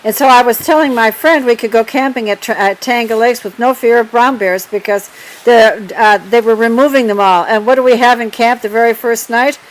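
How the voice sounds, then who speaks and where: Older woman, slightly high voice, lively chatty storytelling. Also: fairly quick, rough recording. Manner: lively, laughs often, prompts partner. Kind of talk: casual storytelling.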